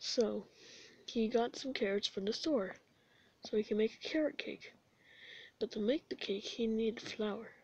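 A woman voicing a gentle, weary male skeleton in an amateur comic dub, low-key and hesitant in casual slang. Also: unhurried, pauses often, slightly rough. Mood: storytelling. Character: gentle, weary male skeleton older brother, laid-back. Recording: dull, echoey room recording.

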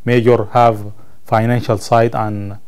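A man in his thirties from Sudan, a native Arabic speaker with a Sudanese Arabic accent, answering interview questions as a student in halting English, searching for words. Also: lowish voice, flat delivery, a little mellow, smooth. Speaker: college ESL student being interviewed. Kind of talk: interview answers about own life. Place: indoor room, seated on camera.

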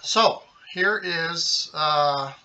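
A middle-aged man giving a casual, unscripted how-to explanation, halting and thinking aloud as he goes. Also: fairly high pitch, pushed and bright, slight background noise. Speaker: hobbyist host of a DIY tutorial. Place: small indoor home workshop at a desk, later at a computer.

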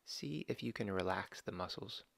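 A man slowly and calmly guiding a body scan meditation, giving gentle step-by-step instructions with long pauses. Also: low voice, creaky. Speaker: meditation guide.

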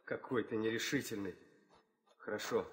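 An actor playing an eager, proud young prince, excitable and theatrical, in a 1960s audio drama. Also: male voice, fairly low pitch, normal speed, a little hiss or hum, lightly acted. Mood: defiant. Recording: reverberant hall sound.

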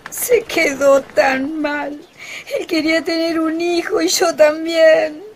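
An actress playing an emotional, humble young country wife in a radio soap opera. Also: female voice, extremely high pitch, level tone, slow pace, exaggerated voice. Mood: sad, humble. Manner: simple, deferential, emotional. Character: tearful, humble young country wife, former ranch worker.

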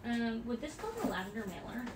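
A woman's voice: a little high, expressive, fast. Chatty Bronx-accented woman, noisy room. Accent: Italian-American Bronx. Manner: chatty, reads out orders and comments. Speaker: livestream co-host packing orders. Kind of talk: casual livestream chat. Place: small business office.

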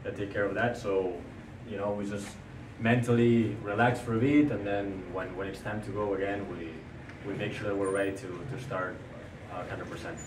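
A man, a player, calmly answering questions after a match. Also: fairly low pitch, moderate pace, recorded from a distance. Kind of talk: post-game interview answers. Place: indoor room with logo backdrop. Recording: echoey room from off-mic with noise.

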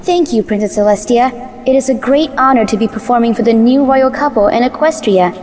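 A woman plays a sweet cartoon pony songstress making a stage announcement. Her voice is fairly high and politely formal, ringing with long reverb. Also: brisk, darkish tone, natural voice. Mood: gracious. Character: polite, sweet young female pony singer. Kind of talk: animated fan series voice acting, stage announcement.

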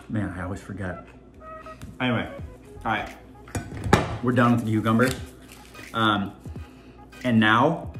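A man's voice: fairly low pitch, unhurried, pauses often. A young man chatting casually while he cooks in a home kitchen, rambling with playful asides. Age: young adult. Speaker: home cooking vlogger. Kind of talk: casual cooking vlog chat. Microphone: camera on the counter, moving around the kitchen. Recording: clear background music under the voice.

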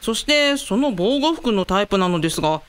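A woman's voice: mid-range voice, lively intonation, fairly quick, smooth, close to an everyday voice. A woman playing a polite, anxious young female research scientist in a radio drama. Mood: earnest, explaining.

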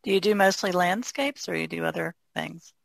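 A woman's voice: lowish voice, normal speed, vocal fry, no echo, studio quality. A woman asking questions in a casual, unscripted podcast conversation.

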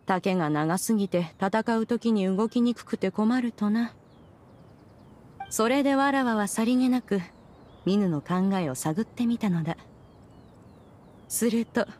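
A woman's voice: mid-range voice, mellow, natural voice. A woman voicing a proud, regal ancient immortal in an anime game, a stern but caring master with stately, archaic diction.

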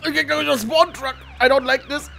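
Young male let's player, playful banter, sharp high voice, game noise and sirens behind. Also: a little fast, vocal fry. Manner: excitable, playful, switches into English phrases. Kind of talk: casual gaming banter during co-op play. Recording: noisy game audio with police sirens. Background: game sounds, sirens.

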